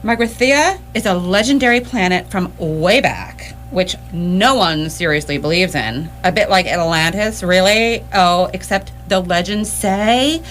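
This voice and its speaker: A woman's voice: lowish voice, measured pace, few pauses, a bit bright. A woman playing a helpful, breezy spaceship crew member in a radio comedy drama. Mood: breezy, explaining. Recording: stage performance with background hum.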